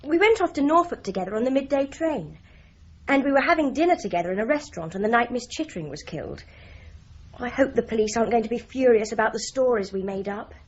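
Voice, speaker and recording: A woman's voice: fairly high pitch, expressive, quick pace, breathy edge, lo-fi sound. An actress playing a candid, slightly sheepish young woman in a radio drama.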